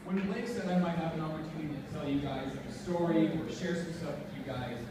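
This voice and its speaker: A young man with a high voice gives an informal motivational talk, speaking directly to his listeners. He is far from the mic in a very echoey space, with clear noise on the recording. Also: fast, over a noisy crowd. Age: young adult. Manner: informal, chatty, addressing the audience directly. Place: large echoey room, before a live audience. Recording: very echoey room, distant mic, noisy.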